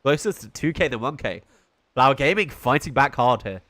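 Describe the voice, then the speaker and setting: A man's voice: medium pitch, lively intonation, moderate pace, a bit bright, no room sound. A young British man giving solo play-by-play commentary on a live esports stream, chatting with his viewers between plays. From Britain. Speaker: esports caster.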